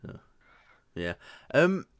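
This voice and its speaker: A man's voice: drawn-out words, no echo, studio quality. A young man with a Yorkshire accent co-hosting a movie review show, chatting casually with lots of fillers and restarts. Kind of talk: casual chat.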